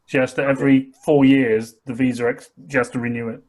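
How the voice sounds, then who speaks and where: A man co-hosting a casual podcast, teasing the others in a playful, lively way. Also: mid-range voice, fairly quick, low-quality recording. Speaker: podcast co-host. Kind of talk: casual chat.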